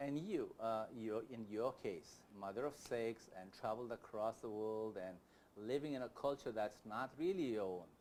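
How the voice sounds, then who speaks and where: A man's voice: lowish voice, moderate pace, a little mellow, slight background noise. A man hosting a conversational interview, probing with questions and acting out hypothetical thoughts as he asks them. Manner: probing, rephrases in imagined first-person quotes. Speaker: talk show host, interviewer.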